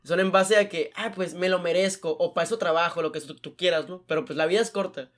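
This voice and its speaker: A young man who talks very fast in loose, slangy podcast chat. His voice sits a little higher than most men's, and he tells stories with animated energy. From Mexico. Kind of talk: casual chat.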